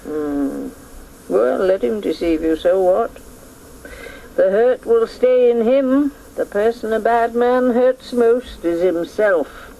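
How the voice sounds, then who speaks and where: Slow, wise old woman. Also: mid-range voice, lively intonation, gravelly, mellow, rough recording. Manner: measured, aphoristic, musing hums. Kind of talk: radio drama acting.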